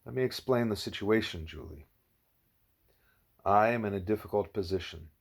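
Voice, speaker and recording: A man voicing a grave, patient, wise animal teacher in an audiobook, speaking in a measured, formal, didactic way. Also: fairly low pitch, vocal fry, slightly rough.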